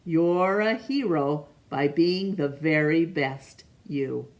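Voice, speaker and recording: A woman's voice: low-pitched, animated, highs cut off. A woman reading a picture book aloud to children, stopping to ask the listener questions and now and then rereading a name. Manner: slow, gentle, asks the listener questions; stumbles over names and rereads them. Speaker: storytime reader.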